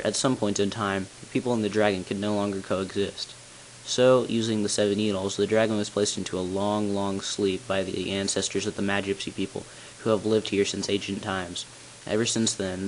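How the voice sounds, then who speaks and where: A hissy home recording carries the low voice of a tall, solemn bell ringer acted by an amateur man. He narrates long-kept secrets from a video game story. His delivery is grave and deliberate. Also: lightly acted, rough recording. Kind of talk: scripted character narration, amateur game voice-over.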